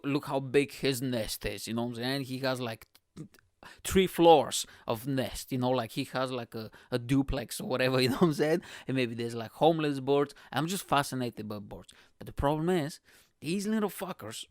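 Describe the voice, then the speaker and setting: A man's voice: mid-range voice, no echo, studio quality. A young man from Bulgaria, hosting his own show in a profane, rambling comedic monologue that keeps checking in with the listener. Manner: profane, rambling, keeps asking "you know what I'm saying". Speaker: podcast host.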